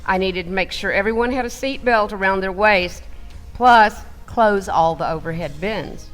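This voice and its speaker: A woman playing an excitable flight attendant who tells her training story theatrically, with deliberate delivery and loud commands. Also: medium pitch, soft background music, lightly acted. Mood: earnest, dramatic. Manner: dramatic, deliberate, breaking into loud barked commands. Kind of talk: theatrical first-person storytelling for a radio play.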